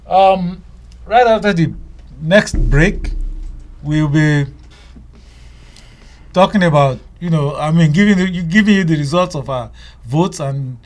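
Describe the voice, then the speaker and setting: Halting male host, higher-pitched voice. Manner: halting, repeats phrases as he goes. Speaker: radio talk show host. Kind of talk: live discussion show presenting.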